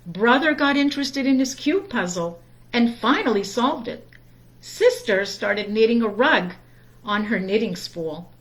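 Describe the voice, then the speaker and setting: A woman reading a children's story aloud in a deliberate, expressive storytime manner. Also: a little high, rough recording. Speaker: storytime reader.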